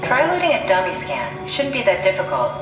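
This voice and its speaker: A woman's voice: medium pitch, huge pitch swings, brisk. An actress playing a curious, pragmatic woman scientist in a video game, talking in an analytical, matter-of-fact, conversational way. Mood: analytical, reassuring. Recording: echoey room sound with music behind.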